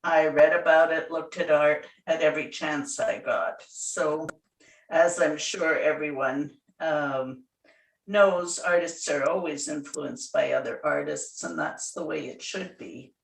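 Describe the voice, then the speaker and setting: A woman born in Hungary, an artist guest answering radio interview questions in a measured, thoughtful way. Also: low-pitched, vocal fry. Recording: roomy, distant from the mic.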